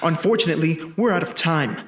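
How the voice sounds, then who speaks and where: An actor playing a commanding, cocky time traveler in an action sci-fi film, terse and insistent. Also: male voice, a little high, fast, fairly bright tone, a little hiss or hum, close to an everyday voice. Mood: confident, knowing. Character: urgent male time-traveling protector from the future, commanding and cocky. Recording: roomy sound with music behind.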